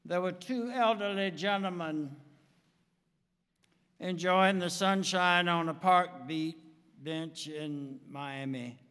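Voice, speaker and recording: An American man in his seventies preaching a sermon from a pulpit in a deliberate, emphatic manner with dramatic pauses. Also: sits high, a bit bright, smooth. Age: 70s. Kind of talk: sermon with anecdotes. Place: church sanctuary, speaking from the pulpit. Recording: reverberant church hall, long echo.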